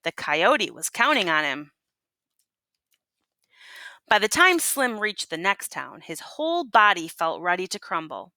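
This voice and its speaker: A woman reading a picture book aloud as a teacher would, in an expressive, folksy storytelling manner. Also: lowish voice, a little slow, long pauses, no echo. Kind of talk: animated picture-book read-aloud to listeners.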